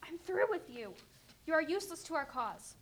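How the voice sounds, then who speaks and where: A woman voicing a harsh, contemptuous clan leader in an amateur radio drama, shouting. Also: high-pitched, sing-song, normal speed, noisy background, voice pushed up. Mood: scornful. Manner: scornful, shouting.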